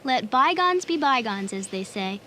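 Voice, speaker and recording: A friendly young woman, in a family radio drama, talks in a high, lively voice with a casual, slightly hesitant way. Background noise is clearly audible. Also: a little slow, full character voice. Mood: reassuring.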